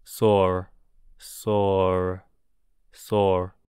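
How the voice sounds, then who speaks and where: Very slow, low-voiced man drilling English. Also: level tone, darkish tone, clear, resonant tone, dry recording, clean studio sound. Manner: repeats each word three times, then word by word. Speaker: English teacher. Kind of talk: slow drilled vocabulary reading.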